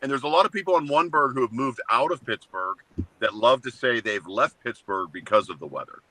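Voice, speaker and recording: A man in his seventies co-hosting a casual talk show, joking bluntly and ranting in short, flat statements. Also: mid-range voice, a little fast, a little hiss or hum, poor audio. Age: 70s. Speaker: co-host. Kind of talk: casual chat.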